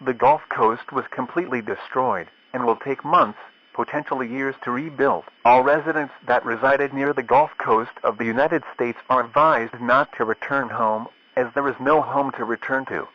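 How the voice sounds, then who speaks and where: A man's voice: mid-range voice, fairly even intonation, normal speed, a bit bright. Dull lo-fi male emergency announcer. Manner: formal, impersonal. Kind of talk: scripted emergency broadcast announcement. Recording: dull lo-fi radio-style sound.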